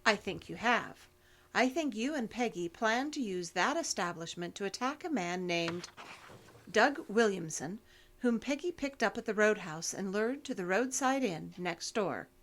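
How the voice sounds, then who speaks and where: A woman's voice: medium pitch, expressive, a little slow, a bit bright, slight background noise. An actress playing a persistent, businesslike female investigator in an audio drama, formal, dry and controlled. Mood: measured, pressing.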